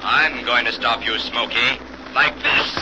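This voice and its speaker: An actor playing an invisible vigilante in an old radio drama, speaking in a measured, commanding, taunting way. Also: male voice, mid-range voice, steady tone, close to an everyday voice. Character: invisible male vigilante hero, calm and menacing. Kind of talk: 1940s radio acting. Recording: muffled, noisy old radio recording.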